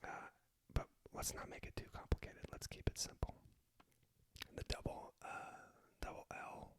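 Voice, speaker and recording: A man speaks entirely in a whisper, in the manner of an ASMR video. He hosts an informal, self-deprecating language lesson as a native English speaker. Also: a little slow. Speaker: video host teaching Spanish basics.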